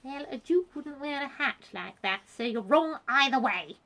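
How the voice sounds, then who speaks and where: A woman's voice: a little high, sing-song, normal speed, husky, voice pushed up, exaggerated voice. A woman voicing a genteel, bossy and snobbish lady doll in a storybook, with prim, emphatic speech. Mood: snappish. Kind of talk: children's storybook character voice.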